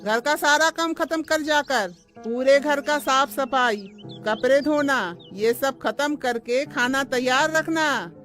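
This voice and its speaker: A woman's voice: sits high, takes it slowly, a bit bright. A woman playing a harsh, spiteful middle-aged mother-in-law in a Hindi moral-story cartoon, with a scornful, taunting delivery. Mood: bossy, taunting. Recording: music under the voice.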